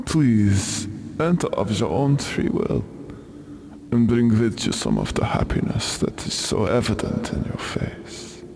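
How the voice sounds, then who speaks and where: An ancient aristocratic vampire from a video game, speaking slowly and very low. His Transylvanian accent is theatrical, his manners courtly and formal, with gloom and menace under every phrase. Noise runs behind the voice. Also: wildly swooping pitch, vocal fry, a little mellow, heavily acted. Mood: courtly, gloomy. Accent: mock Transylvanian. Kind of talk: video game cutscene acting.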